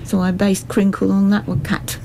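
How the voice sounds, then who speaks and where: A woman's voice: mid-range voice, unhurried, creaky, dark tone, a little hiss or hum, poor audio. A woman giving articulate, explanatory answers as a radio interview guest. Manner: articulate, full sentences.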